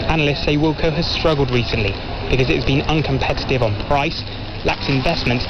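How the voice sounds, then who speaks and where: A man with a slightly high voice delivers a scripted business report. The radio sound is dull, with clear noise. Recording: dull, noisy shortwave radio relay.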